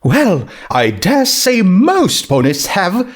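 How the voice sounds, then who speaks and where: Male reader as refined female pony fashionista: high, swooping, gushing, theatrical and very sure of herself. Storybook reading. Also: wildly swooping pitch, slow, exaggerated voice. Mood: gracious, preening. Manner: posh, dramatic, calls people darling. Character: elegant, theatrical young female pony dressmaker, gushing and self-assured.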